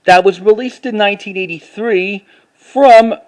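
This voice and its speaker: A man with a high-pitched voice talks casually as he shows off a collection. He reads titles out and speaks in a halting way, often restarting or repeating a phrase. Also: low-quality recording. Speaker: collector showing finds to camera. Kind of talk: casual unscripted show-and-tell narration.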